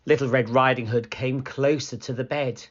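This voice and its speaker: A woman reading a children's story aloud as scripted narration, expressive and deliberate. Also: a bass voice. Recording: slightly dull, lo-fi sound.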